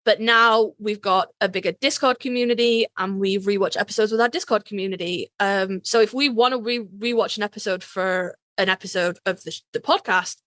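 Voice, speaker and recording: A woman's voice: mid-range voice, a little fast, few pauses, a bit bright, dry recording. A woman co-hosting a podcast in casual fan chat, informal and emphatic, repeating phrases to drive a point home. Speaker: podcast co-host.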